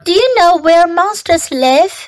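Woman voicing an excitable, high-pitched young child. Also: unhurried. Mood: curious. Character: excitable young child storyteller with a secret monster.

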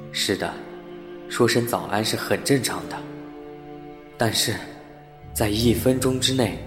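A man's voice: lowish voice, natural voice. A man playing a courteous, hesitant and easily puzzled building manager who also narrates a sci-fi radio drama. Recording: roomy sound with music underneath.

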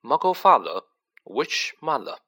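Man playing a nervous, bright-voiced teenage schoolboy. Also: medium pitch, big pitch swings, measured pace, full character voice. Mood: embarrassed, quick. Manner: earnest, hesitant, deferential.

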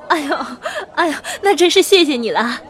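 A woman's voice: sits high, animated, a little fast, a bit bright, some chatter behind. An actress playing a bubbly, polite female friend, chirpy and effusive, in an audio drama with bustle behind her. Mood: cheerful, grateful. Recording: busy, noisy background ambience.

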